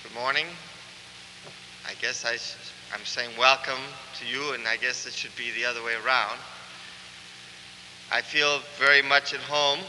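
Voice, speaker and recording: A pastor preaches slowly in a bright, fairly high voice. His earnest delivery builds to fervent emphasis. The sound rings with the long reverb of a church. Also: a little hiss or hum. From Cuba. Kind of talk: sermon. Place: church sanctuary.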